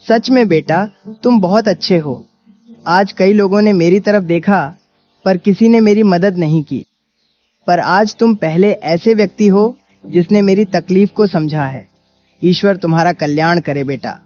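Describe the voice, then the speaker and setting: A man playing a poor, frail old beggar, humble and plaintive, in an animated story. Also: sits high, a little slow. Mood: grateful. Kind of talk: animated Hindi moral story acting. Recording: dull lo-fi audio with music behind.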